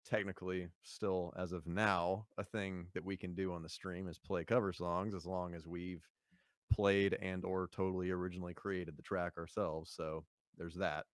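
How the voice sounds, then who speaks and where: Man, deep voice, resonant, casual rambling podcast chat. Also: normal speed, no room sound, studio quality. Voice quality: low voice. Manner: relaxed, rambling with fillers and laughs. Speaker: podcast guest, singer.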